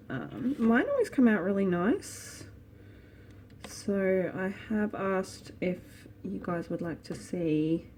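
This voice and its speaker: A woman's voice: fairly low pitch, background noise. An Australian woman in her thirties, rambling casually as she thinks aloud in a home vlog from her living room. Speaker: vlogger and small online shop owner.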